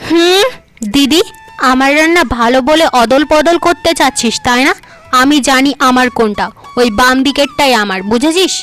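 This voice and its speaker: A woman's voice: sits high, normal speed, a bit bright, soft background music. A woman voicing a vain, scheming young wife in a cartoon folk tale, who talks in a boastful, mocking way. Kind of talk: animated folk tale voice acting.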